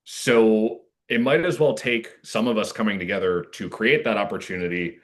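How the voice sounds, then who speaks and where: Earnest, halting low-voiced man. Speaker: playwright guest. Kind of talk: casual, earnest interview answer.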